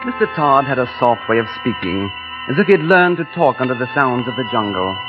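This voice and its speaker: A reserved, politely clipped explorer played by a man tells his tale in a measured way. The sound is muffled like a vintage radio play, with music clearly heard under the voice. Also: medium pitch, sing-song, close to an everyday voice. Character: weary feverish male explorer, polite and reserved. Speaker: narrator and lead in radio drama. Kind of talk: 1940s radio acting.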